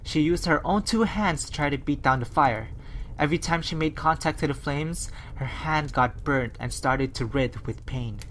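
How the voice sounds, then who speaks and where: Steady student radio narrator. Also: mid-range voice, normal speed, a little hiss or hum, poor audio. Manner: steady, read-from-script delivery. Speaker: narrator and newscaster. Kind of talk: read-aloud 1930s-style radio narration and news.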